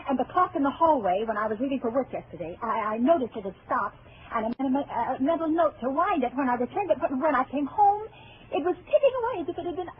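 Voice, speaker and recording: An actress playing an irritable, frightened, haughty spinster who lives alone, with sharp, sarcastic, precise diction in a 1940s radio suspense style. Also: female voice, high-pitched. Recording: tinny, noisy 1940s radio broadcast.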